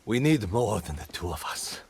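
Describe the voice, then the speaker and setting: Defiant battle-worn rebel, very husky, wildly swinging pitch, guilt-laden, video game drama. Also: male voice, very animated, moderate pace, a little mellow, full character voice. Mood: wary. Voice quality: husky, gravelly.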